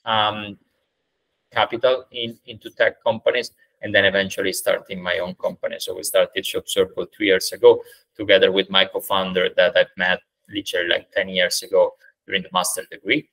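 A man in his thirties from Italy with an Italian accent, answering interview questions over a video call in a measured way, with many ums and uhs. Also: low voice, little pitch movement, fairly bright tone, rough recording. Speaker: startup founder, guest. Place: indoors, plain room. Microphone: video call webcam.

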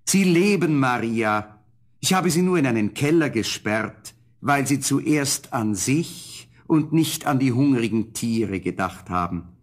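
An actor playing a noble, tender young prince in a fairy-tale audio play, with a solemn, courtly manner and a husky voice. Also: male voice, medium pitch, lively intonation, unhurried. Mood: reassuring.

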